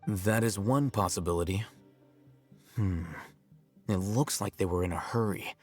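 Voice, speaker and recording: A man playing a composed, analytical young scholar in a video game, speaking in a measured, deliberate way. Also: lowish voice, lightly acted. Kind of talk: scripted video game voice acting. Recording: game music behind the voice.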